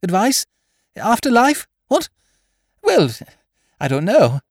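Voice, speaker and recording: A man's voice: wildly swooping pitch, moderate pace, dry recording, clean studio sound. A man playing a flustered, dithering young English toff. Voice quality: high, strained, spluttering. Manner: stammering, dithering, breathless bursts. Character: flustered, befuddled young upper-class English gentleman. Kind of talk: audiobook character voice.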